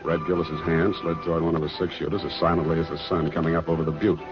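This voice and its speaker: A man puts on a tough American Western storyteller voice. It drops low and drawls through the pulp melodrama. The old radio recording is dull and muffled. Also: flat delivery, fast talker, music in the background, natural voice. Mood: tough, melodramatic. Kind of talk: dramatic reading of pulp Western.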